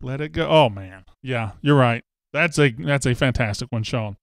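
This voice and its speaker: Casual guy hosting a live show, clear smooth voice, dry studio sound, reads comments and jokes. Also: mid-range voice, normal speed, dry recording. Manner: chatty, reads viewer comments aloud, self-mocking jokes. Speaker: host. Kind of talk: casual live-stream chat.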